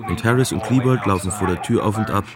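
A man's voice: on the low side, quick pace, heavy background noise, lightly acted. A man voicing an official's factual account as a sober German documentary voice-over. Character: male official soberly recounting an investigation.

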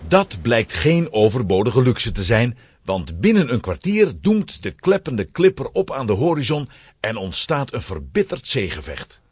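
A man's voice: mid-range voice, huge pitch swings, a little slow, breathy edge, no room sound, studio quality. A man narrating a children's adventure story in a dramatic, scripted storytelling style.

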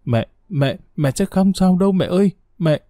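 A man's voice: high-pitched, animated, moderate pace, dark tone, dry recording, clean studio sound, pitched above the performer's own voice. A man playing a tearful young pregnant woman. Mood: sobbing, pleading. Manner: pleading, choked with tears.

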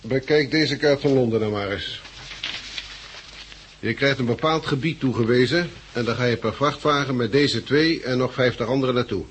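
An actor playing a bossy, callous officer in a 1960s radio drama, curt and commanding, with a husky voice. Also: male voice, medium pitch, moderate pace, a bit bright, slight background noise, natural voice. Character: bossy, callous gang officer handing out orders.

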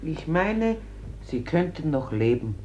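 A woman's voice: low voice, big pitch swings, takes it slowly, mellow, highs cut off, full character voice. A defiant mother, hissy old recording. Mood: firm, resisting. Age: middle-aged. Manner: emphatic, argumentative. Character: defiant, embittered mother refusing her sons to war. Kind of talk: German stage-like radio drama acting. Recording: noisy old radio drama recording.

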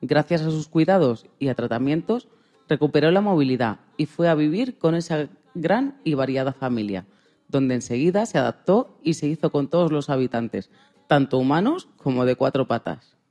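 A woman's voice: sits low, lively intonation, normal speed, dark tone. A woman presenting a radio segment in a storytelling style, narrating for listeners of all ages.

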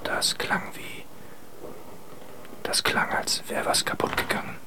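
An actor playing a blunt, jittery young sailor speaking in hushed tones in a radio drama. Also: male voice, slow, whispered. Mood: uneasy, incredulous.

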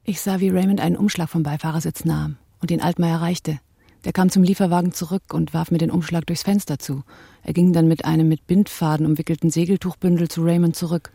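An actress playing a reflective woman narrator in a radio drama, telling events in the first person in a subdued, measured way. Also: fairly low pitch, fairly even intonation, vocal fry, dark tone, breathy edge, dry recording, studio quality. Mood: subdued, narrating. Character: reflective woman narrator, partner of an arms dealer.

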